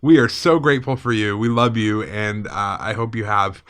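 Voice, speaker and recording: A man's voice: on the low side, moderate pace, a bit bright, clear, resonant tone. A man co-hosting a podcast, chatting casually in an informal, joking manner. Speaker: podcast co-host.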